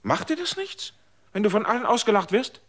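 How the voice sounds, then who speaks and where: A man's voice: sits high, sing-song, a little fast, fairly bright tone, exaggerated voice. An actor playing an earnest man who asks probing questions and lectures in a radio drama.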